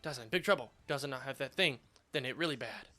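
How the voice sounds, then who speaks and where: A hoarse man reading out a small, fretful male creature merchant who speaks in broken, childlike phrases and calls himself by name. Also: mid-range voice, fast talker, sharp tone, soft background music, close to an everyday voice. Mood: fretful pleading. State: hoarse after surgery.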